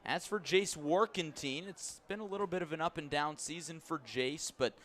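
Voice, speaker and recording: A man hosting a baseball radio pregame show, with a polished, measured announcer's delivery. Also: a little high, a bit bright. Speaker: baseball radio play-by-play broadcaster. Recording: background ambient noise.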